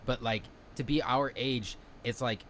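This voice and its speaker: A man's voice: mid-range voice, steady tone, a little slow, a bit bright, a little hiss or hum. A man in his thirties co-hosting a podcast, chatting in a loose, candid, rambling way that often trails off. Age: 30s. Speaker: podcast co-host. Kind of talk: casual chat.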